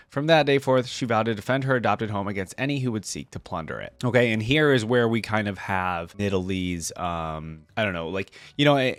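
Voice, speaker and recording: A man's voice: mid-range voice, no echo. A man hosting a reaction video, mixing casual commentary with reading aloud.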